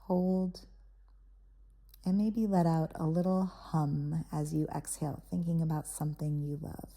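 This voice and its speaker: Very mellow, dark-voiced woman guiding meditation. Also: sits low, level tone. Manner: soothing, unhurried phrasing with pauses between short phrases. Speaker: guided meditation host. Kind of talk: guided meditation, soft guided visualization.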